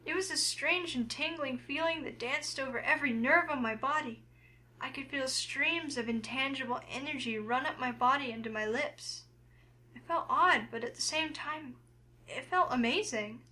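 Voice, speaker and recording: A woman's voice: high voice, moderate pace, poor audio. A woman playing a feisty, easily flustered young heroine in a visual novel, speaking expressively. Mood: breathless, reflective. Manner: expressive, indignant when provoked. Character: feisty, flustered young woman narrating her own romance.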